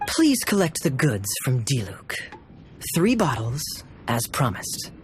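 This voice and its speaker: An actor playing a suave young officer in a video game, smooth-talking and wry with theatrical charm. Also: male voice, mid-range voice, wildly swooping pitch, slow. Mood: wry, teasing. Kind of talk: English video game voice acting. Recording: music playing behind the voice.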